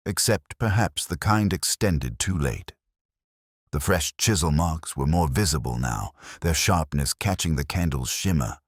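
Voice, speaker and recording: An extremely deep voice from a male actor tells a detective story as a brooding inspector. The delivery is solemn and measured, yet the pitch moves expressively through each reflective line. The sound is dry and close, like an audio drama narration. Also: breathy edge, no echo, exaggerated voice. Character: brooding male English police inspector narrating his investigation. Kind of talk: literary first-person scripted narration.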